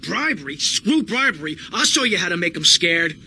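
Sharp, bossy gangster boss. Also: male voice, high voice, very animated, normal speed, slight background noise, heavily acted. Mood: commanding. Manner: curt, bossy, forceful. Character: tough, commanding gangster boss, short-tempered. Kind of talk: video game cutscene acting.

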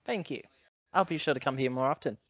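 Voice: mid-range voice, fast talker, a bit bright, no room sound, lo-fi sound. A player in a tabletop roleplaying game, casually narrating and speaking for their character.

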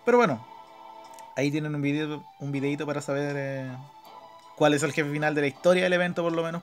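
Chilean male gamer, slightly high voice, informal slangy play-by-play, game music faintly behind. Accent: Chilean Spanish. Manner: informal, slangy, swearing, talking to himself. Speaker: gaming video host. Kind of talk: casual live gameplay commentary. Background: video game music.

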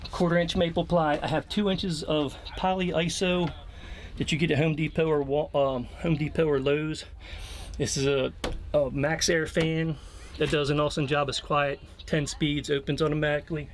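American male, 50s, slightly high voice, plain-spoken DIY tour guide talking off the cuff, clear background noise. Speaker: trailer camper builder giving a tour. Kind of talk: casual unscripted walkthrough. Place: inside a small camper trailer with open door. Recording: clearly noisy location sound with clicks.

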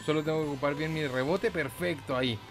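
A man's voice: fairly high pitch, fast talker. A man with a Chilean Spanish accent giving casual, playful gameplay commentary, joking and swearing as he goes. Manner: playful, joking, sweary, with silly sound effects and singsong. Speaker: gaming YouTuber. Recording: game music behind the voice. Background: video game music.

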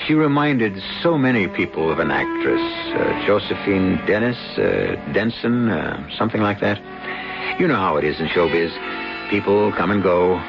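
Man hosting an old radio play, low-ish voice, wry delivery, dull lo-fi sound with music. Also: sing-song, moderate pace, vocal fry. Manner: wry, theatrical. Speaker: radio drama host. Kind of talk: scripted radio narration. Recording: dull lo-fi 1970s radio broadcast.

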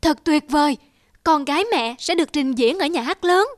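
A gentle cartoon mother, with a high voice. She speaks patiently and encouragingly, like one telling a story to her child, over faint music. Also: lively intonation, moderate pace, no echo, clean studio sound. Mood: proud, happy. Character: gentle, encouraging mother of a young pianist daughter. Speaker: mother character. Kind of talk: animated fairy-tale dubbing.

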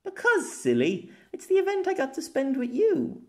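A man plays a playful, old-fashioned young lady in an audiobook story. The voice is lifted high and swoops about. She is wide-eyed and teasing, with quaint formal turns of phrase. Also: wildly swooping pitch, moderate pace, creaky, full character voice. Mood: tender.

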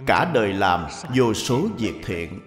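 A man's voice: on the low side, animated, slow. A man narrating a scripted story as the narrator of a cartoon. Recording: echoey long reverb over music.

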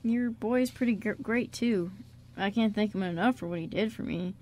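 A woman playing an easygoing, bashful man with a halting, soft-hearted manner in an amateur radio drama. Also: mid-range voice, close to an everyday voice. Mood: sheepish, warm. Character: Quinn, easygoing, bashful man with a shady past. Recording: noticeable background noise.

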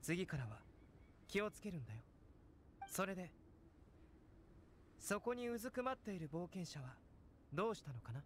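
A scholarly young male alchemist from an anime game. His voice is high for a man, calm and measured, gently probing, over faint ambience. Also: a little hiss or hum. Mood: gentle. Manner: composed, measured, softly probing.

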